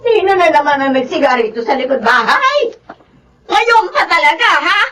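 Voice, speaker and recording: A sharp-tongued older Filipino neighbour woman for radio drama. Her voice is extremely high and bright. She scolds, accuses and hurls insults. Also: very animated, moderate pace, soft background music. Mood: irritated, accusing.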